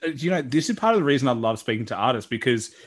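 Man, deep voice, earnest and reflective podcast host. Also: expressive, racing, breathy edge. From Australia. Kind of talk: casual interview talk.

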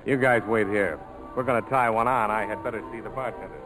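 An American actor playing a tough, loyal ex-bomber pilot in a 1940s radio drama, speaking in clipped, terse phrases. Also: male voice, on the low side, steady tone, fast, music in the background, lightly acted, rough recording. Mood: brisk, determined. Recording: vintage 1940s radio broadcast.

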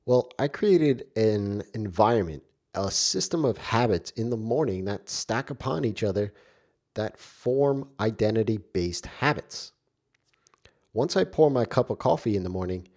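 Guy with a cold hosting his podcast, kinda stuffy, low mellow voice, chatty with listeners. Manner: friendly, direct address to listeners. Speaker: podcast host. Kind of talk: solo podcast talk.

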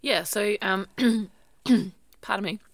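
A woman co-hosting a podcast, telling a true crime story in casual chat with a slightly croaky voice and frequent laughs. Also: mid-range voice, slow, fairly bright tone, no room sound. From Australia. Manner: chatty storyteller, laughs often, restarts sentences.

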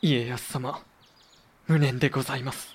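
A man's voice: mid-range voice, steady tone, moderate pace, breathy edge, close to an everyday voice. An actor playing a distraught tea master mourning his lord in a Japanese period audio drama, with formal archaic speech. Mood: grieving, pleading.

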